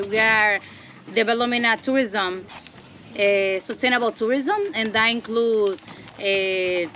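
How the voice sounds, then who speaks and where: A woman's voice: a little high, sharp tone, a little hiss or hum. A woman from the Dominican Republic, a native Spanish speaker with a Dominican accent, earnestly explaining her cacao farm in simple English. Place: outdoors on a farm.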